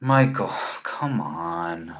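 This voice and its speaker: A man's voice: low-pitched, lively intonation, drawn-out words, heavily acted. A man playing a cautious, exasperated book editor friend in a comedy table read. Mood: wry.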